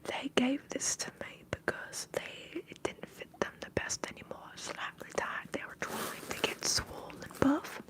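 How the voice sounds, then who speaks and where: A woman's voice: a little slow, few pauses, fairly bright tone. A woman with a Minnesotan accent whispers breathily in a rambling, conversational ASMR livestream, chatting to her viewers. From Minnesota, US upper Midwest. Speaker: livestream host.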